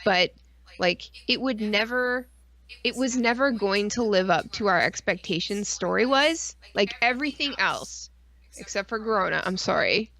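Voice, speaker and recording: Woman podcast co-host, mid-pitched, casual and rambling, informal with mild swearing. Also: animated, moderate pace, a bit bright. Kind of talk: casual chat.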